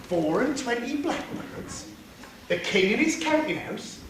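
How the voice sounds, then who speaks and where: A woman's voice: fairly high pitch, big pitch swings, measured pace. A woman playing an anxious, eager young male servant in an amateur stage pantomime, theatrical and exclamatory. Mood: dutiful. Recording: very echoey hall, far mic, noisy.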